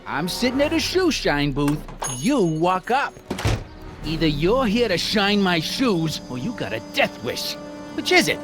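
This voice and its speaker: A man's voice: sits high, sing-song, measured pace, over music. An actor playing a brash, bullying American gangster boss in a video game, with sarcastic, domineering tough-guy talk and old-time slang. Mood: irritated, threatening.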